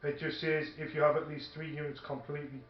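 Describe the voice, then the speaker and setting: A man's voice: fairly high pitch, flat delivery, fast talker, faint music behind. A man chatting casually as he plays a tabletop wargame. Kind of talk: casual game-table chat. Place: indoor room at a gaming table. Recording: dull, echoey room recording with background noise. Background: faint background noise, radio playing.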